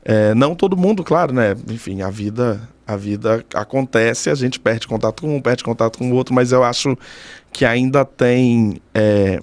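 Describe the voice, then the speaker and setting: A man's voice: medium pitch, normal speed, darkish tone. A man in his thirties with a Brazilian Portuguese accent, talking casually and reflectively as an interview guest, thoughtfully repeating phrases for emphasis. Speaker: podcast guest, doctoral student in communication.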